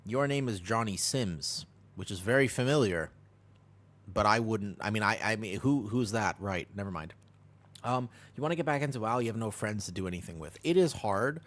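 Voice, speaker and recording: A man's voice: on the low side, expressive, moderate pace, fairly bright tone. An American man streaming a game, chatting casually and rambling as he answers chat and laughs easily. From United States.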